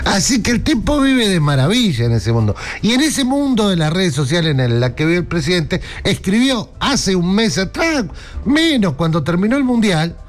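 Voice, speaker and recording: A man's voice: high-pitched, huge pitch swings, unhurried. A man with an Argentine accent giving a sarcastic political monologue, mocking and laughing at his own jokes while talking straight to the listener. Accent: Argentine Rioplatense. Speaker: political commentator.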